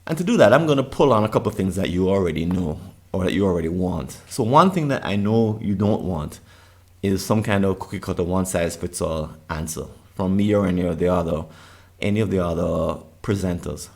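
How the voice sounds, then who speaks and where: A man's voice: low voice, lively intonation, brisk, gravelly. A middle-aged man with a Jamaican accent, earnestly presenting a summit session and often restarting his phrases. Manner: earnest, with frequent restarts and repeated words.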